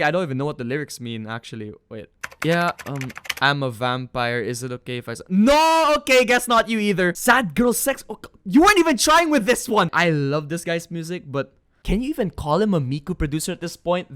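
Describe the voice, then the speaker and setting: A young adult narrating a scripted video essay in a casual, animated way, moving between earnest points and playful asides. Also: medium pitch. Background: background music.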